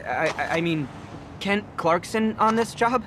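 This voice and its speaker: A man voicing an eager, nervous young news photographer in an animated video game, boyish and stumbling. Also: high voice, measured pace. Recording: vehicle and ambient noise behind.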